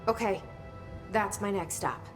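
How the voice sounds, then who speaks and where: An actress playing a wary, curious newcomer to a secret agency in a video game, asking terse questions. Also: female voice, mid-range voice, unhurried, music in the background, lightly acted. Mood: wary, determined.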